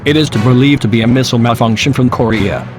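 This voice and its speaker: A man's voice: mid-range voice, quick pace. A man reporting scripted news in a formal manner as an anchor. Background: music.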